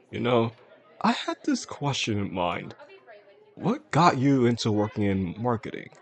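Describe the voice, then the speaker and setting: A charming, quietly possessive boss acted by a man courts his employee in an intimate audio roleplay. His voice sits low with a pronounced fry, and he talks softly and attentively, without hurry. Faint restaurant chatter murmurs behind him. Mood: lighthearted, casual.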